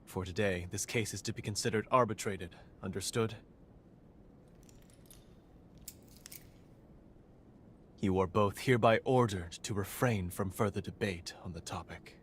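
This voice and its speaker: A man voicing a measured judicial magistrate in a video game, formal, stern and diplomatic. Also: lowish voice, slight background noise, lightly acted. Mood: firm, stern. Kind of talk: scripted video game cutscene acting.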